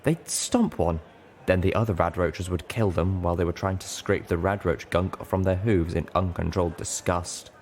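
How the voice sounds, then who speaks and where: A man's voice: low voice, moderate pace, a little mellow, a little hiss or hum. A man voicing a worldly young pony mare who explains things frankly and matter-of-factly in an audiobook. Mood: wry, confiding. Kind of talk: audiobook character dialogue.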